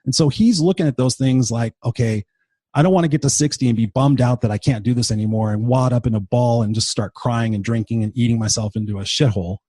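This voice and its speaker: A man in his fifties gives an unscripted motivational talk, blunt and sprinkled with swearing, leaning hard on repeated emphasis. His voice is husky and a little worn from being sick and tired, sitting at an everyday middle pitch. The sound is dry and close, with no room around it. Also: steady tone, fast, dark tone, no room sound. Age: 50s. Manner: blunt and profane, emphatic repetition. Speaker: motivational podcast host.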